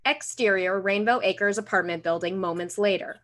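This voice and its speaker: A woman's voice: medium pitch, moderate pace, a bit bright. A young adult woman reading screenplay stage directions as scripted narration on a video call. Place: room at home, video call.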